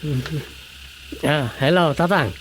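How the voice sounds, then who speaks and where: A man's voice: fairly high pitch, slow pace, a little hiss or hum, rough recording. A man playing an obliging adult son in a comic radio drama, giving brief, agreeable replies.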